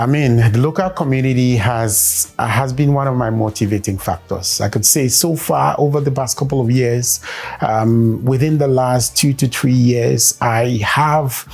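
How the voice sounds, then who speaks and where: A man with a husky, slightly rough voice and a middle-pitched tone, answering interview questions in a measured way. He pauses often with fillers and builds his points step by step. Faint music plays softly behind him. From Cameroon. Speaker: performance consultant and coach.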